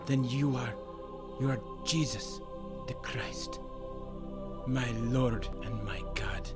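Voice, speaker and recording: A man playing a kindly, devout Roman soldier in an audio drama, speaking earnestly and dramatically. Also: mid-range voice. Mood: awestruck. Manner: earnest, dramatic, halting when moved.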